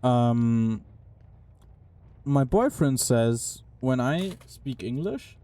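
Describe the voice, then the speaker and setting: A man's voice: medium pitch, expressive, extremely slow, dark tone. A young man streaming a game, casually commenting and chatting with his viewers in a puzzled, talkative way. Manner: puzzled, chatty, talks to chat. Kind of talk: casual live-stream commentary while playing.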